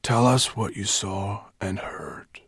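A man voicing an old, tired dragon leader in a storybook, hoarse and commanding. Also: on the low side, slow pace, fairly bright tone, dry recording, studio quality, pitched above the performer's own voice, exaggerated voice. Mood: hushed, commanding. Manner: weary, commanding.

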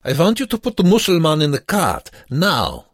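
Man doing a high, barking young Nazi guard. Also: sing-song, unhurried, no echo, clean studio sound. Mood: commanding, petulant. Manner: shouted, barking orders. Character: arrogant young Nazi camp guard, cruel and petulant. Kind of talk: audiobook character voice.

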